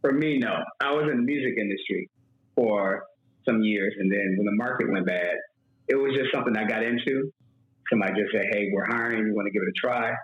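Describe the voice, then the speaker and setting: A man from New York, a guest talking about his flight attendant job in a relaxed, plain-spoken way. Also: fairly low pitch, brisk. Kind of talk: casual interview talk. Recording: echoey room, dull lo-fi audio.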